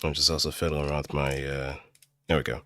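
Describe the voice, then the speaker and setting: A man streaming casually, thinking aloud and improvising as he goes, with hesitant pauses. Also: very deep voice, level tone, fairly bright tone. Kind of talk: casual rambling livestream commentary.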